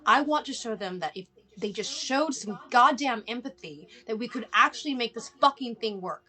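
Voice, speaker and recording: A woman's voice: a little high, expressive, normal speed, pushed and bright. Shaken, earnest woman survivor played by a woman. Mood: fervent, defiant. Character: shaken woman survivor, self-doubting yet angry and defiant. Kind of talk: film script table read.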